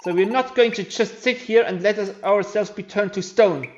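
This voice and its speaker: A man's voice: sits high, normal speed, muffled recording, slight background noise, pitched above the performer's own voice, lightly acted. A man voicing an earnest, defiant young hero creature in a video game story, over music. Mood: determined. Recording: music behind the voice.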